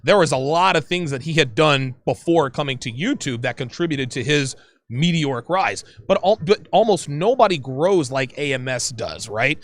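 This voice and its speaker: A man hosting a casual panel podcast, animated and joking, often laughing, with a high-pitched voice. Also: moderate pace. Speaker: podcast host.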